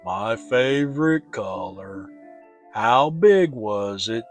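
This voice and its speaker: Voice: medium pitch, lively intonation. Storybook donkey, played by a man: mournful, resigned temper, heavy dragging delivery, faint music underneath. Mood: sad, resigned. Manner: slow, drawn-out, gloomy delivery. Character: gloomy old grey donkey, slow and mournful.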